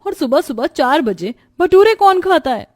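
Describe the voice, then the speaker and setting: A woman's voice: high voice, sing-song, normal speed, pitched above the performer's own voice. A woman voicing a young, put-upon new bride who complains and protests plaintively in a cartoon story. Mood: disbelieving.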